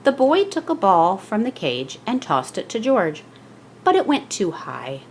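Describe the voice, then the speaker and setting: A woman reading a children's picture book aloud as scripted narration, with expressive storytelling delivery. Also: medium pitch, unhurried, slight background noise.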